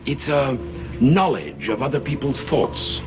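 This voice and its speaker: An actor playing a courteous, scheming gentleman in a gothic television soap, with a suave, formal, theatrical manner. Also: mid-range voice, sing-song, unhurried. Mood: insistent, persuasive. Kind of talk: 1970s gothic TV soap opera acting. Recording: old TV soundtrack with music underneath.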